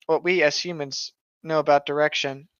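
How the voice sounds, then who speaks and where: A man's voice: fairly high pitch, steady tone, moderate pace, a bit bright, smooth, dry recording. A man co-hosting a comedic podcast, chatting and reading aloud in a joking, profane way through a lo-fi microphone. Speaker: podcast co-host. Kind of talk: casual comedic chat while reading horror stories aloud. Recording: dull lo-fi microphone sound.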